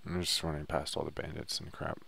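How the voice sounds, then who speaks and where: A man's voice: extremely low pitch, little pitch movement, brisk, creaky, sharp tone, slightly rough. A man giving a laid-back, offhand, unscripted walkthrough commentary as he plays through a video game. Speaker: gameplay guide narrator.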